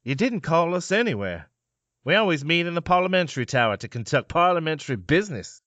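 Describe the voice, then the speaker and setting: Man as skeptical old politician, highish voice. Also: very animated, quick pace, a bit bright. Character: skeptical older male traditionalist politician. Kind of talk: audio drama acting.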